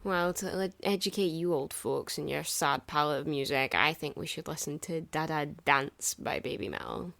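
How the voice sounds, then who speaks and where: An actress playing a cheeky young hitchhiker, teasing in a playful deadpan way, in an audio drama. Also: female voice, sits low, moderate pace, creaky, few pauses, no echo.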